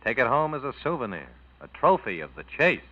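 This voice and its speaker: An actor playing a gruff, terse, matter-of-fact police detective in a 1940s radio drama. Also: male voice, mid-range voice, very animated, a little fast, lightly acted. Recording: thin, phone-like old radio recording.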